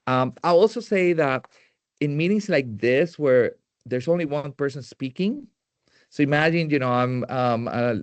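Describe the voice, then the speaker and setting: Halting Puerto Rican man presenting. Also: mid-range voice, dry recording. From Puerto Rico. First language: Spanish. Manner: halting, many fillers and restarts. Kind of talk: tech presentation.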